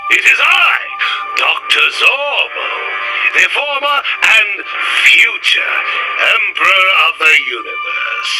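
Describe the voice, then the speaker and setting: A man's voice: sits high, very animated, takes it slowly, over music, full character voice, poor audio. A man playing a pompous, grandiose would-be emperor and villain in a retro sci-fi radio serial. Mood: grandiose, vengeful. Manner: grandiose, melodramatic.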